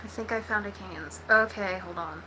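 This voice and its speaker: A woman's voice: medium pitch, moderate pace, bright, forceful tone, slight background noise, poor audio. A woman from Texas with an American accent, chatting casually to livestream viewers in a blunt, slangy, sweary way. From Texas, United States. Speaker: livestream host.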